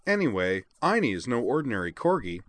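Man playing a bubbly, sweet young woman, raised pitch. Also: very animated, a little fast, a bit bright. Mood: gleeful. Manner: gleeful, childlike.

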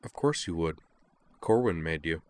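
A sad, anxious young woman, voiced by a male narrator confides quietly. The words come softly and hesitantly, almost murmured, in a gentle storybook-reading manner. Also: deep voice, animated, moderate pace. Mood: fearful, sad.

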